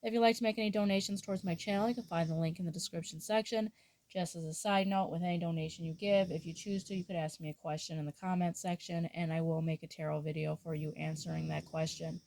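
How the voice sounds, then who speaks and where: A woman reading tarot in a soft, halting way. She sounds nasal and has a sore throat. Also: on the low side, steady tone, gravelly. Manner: soft-spoken with frequent fillers and restarts. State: sore throat, under the weather. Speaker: tarot reader. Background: dog snoring.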